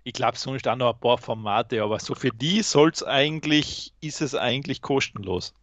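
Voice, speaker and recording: A man's voice: mid-range voice, normal speed, fairly bright tone, no room sound. A man with an Austrian accent chatting casually as a podcast co-host, explaining things in colloquial speech. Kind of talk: casual chat.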